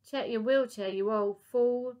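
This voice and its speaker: A woman's voice: fairly high pitch, unhurried, warm tone, pitched above the performer's own voice, close to an everyday voice. A woman doing a blustering trigger-happy lady. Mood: furious. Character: bossy shouting old lady, trigger-happy.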